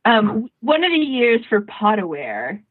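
A woman co-hosting a podcast in casual chat, often interrupting herself as she tells things in an animated way. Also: fairly high pitch, a little slow, breathy edge, dry recording, phone-quality sound. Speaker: podcast co-host.

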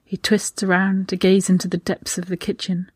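A woman's voice: fairly low pitch, completely flat delivery, normal speed, slightly rough, no room sound, studio quality. A woman reading scripted fiction aloud as a story narrator. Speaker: audiobook-style fan fiction reader.

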